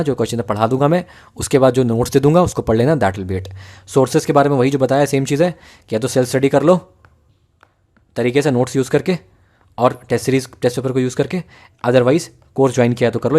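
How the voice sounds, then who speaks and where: Quick-talking Indian male teacher. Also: mid-range voice, a little mellow. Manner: fast, conversational, keeps checking in with students. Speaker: exam-prep teacher. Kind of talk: lecture mixing Hindi and English.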